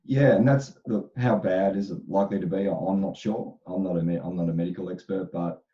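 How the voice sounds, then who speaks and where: A man's voice: deep voice, steady tone, fairly quick, dark tone, muffled recording. A man speaking as an HR expert on a panel. His voice is slightly crackly, and he answers thoughtfully with frequent restarts. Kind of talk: casual panel discussion.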